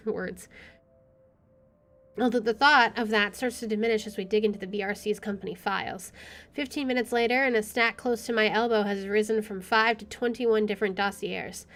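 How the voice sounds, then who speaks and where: A woman's voice: medium pitch, moderate pace. A young adult woman streaming a game, reading a visual novel aloud with casual commentary. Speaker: gaming streamer.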